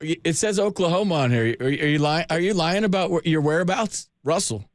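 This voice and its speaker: American guy hosting sports radio, kinda high voice, jokey and slangy w callers, super dry studio sound. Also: lively intonation, a little fast, no echo. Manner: informal, joking, slangy. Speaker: sports radio call-in host. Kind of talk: casual chat with callers. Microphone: close studio microphone.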